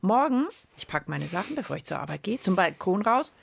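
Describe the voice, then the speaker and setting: A woman's voice: mid-range voice, big pitch swings, fairly quick, vocal fry, breathy edge. A woman co-hosting a children's science show, bantering and storytelling casually and playfully in a studio. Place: radio studio.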